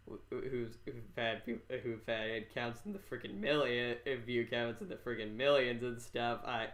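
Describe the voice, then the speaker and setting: A man's voice: medium pitch, fairly bright tone. Rambling man hosting a casual podcast. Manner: rambling, halting, repeats phrases. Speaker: podcast host.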